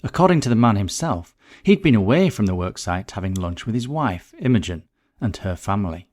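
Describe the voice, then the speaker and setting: A man's voice: fairly low pitch, big pitch swings, fairly quick, darkish tone. A man reading a scripted murder mystery story as its narrator.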